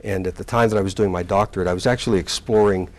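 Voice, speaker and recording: Older man, sixties, deep voice; unhurried interview guest who hesitates and restarts often. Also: fairly even intonation, a little mellow. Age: 60s. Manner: halting, with many fillers and restarts. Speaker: community college president, guest. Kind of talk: relaxed interview recollection.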